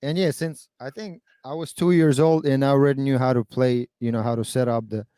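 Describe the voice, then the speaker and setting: A man's voice: mid-range voice, steady tone, fairly quick, warm tone, clean tone, no room sound, clean studio sound. A man in his thirties from Kazakhstan whose first language is Russian, chatting casually and hesitantly on a stream, with many fillers and restarts. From Kazakhstan (then USSR). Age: 30s.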